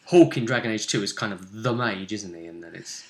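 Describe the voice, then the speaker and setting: A man game mastering, casually recapping a tabletop roleplay session in chatty, animated narration. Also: lowish voice, brisk.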